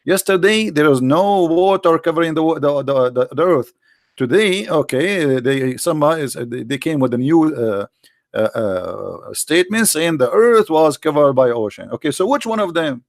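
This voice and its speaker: A man's voice: a little high, animated, moderate pace, dry recording, studio quality. A man hosting a live online debate, mocking and sarcastic, firing questions at his opponent. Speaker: debate host.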